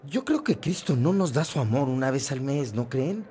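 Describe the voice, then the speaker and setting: An actor playing a warm-hearted, earnest young seminarian in a radio drama, with a persuasive, urging manner. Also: male voice, medium pitch, animated, moderate pace, a little hiss or hum, close to an everyday voice.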